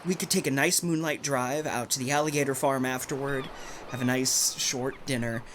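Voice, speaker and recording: A man's voice: medium pitch, steady tone, moderate pace, fairly bright tone, a little hiss or hum, close to an everyday voice. A man playing a domineering, secretive bridegroom in old-fashioned radio melodrama, with a terse, insistent delivery. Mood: eager, coaxing. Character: domineering, secretive bridegroom from Maine, curtly menacing.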